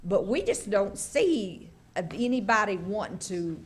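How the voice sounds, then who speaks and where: A woman's voice: medium pitch, very animated, unhurried, husky. A woman, a local resident objecting at a county meeting, plain-spoken and rambling. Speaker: resident speaking in opposition. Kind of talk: public comment at a county meeting.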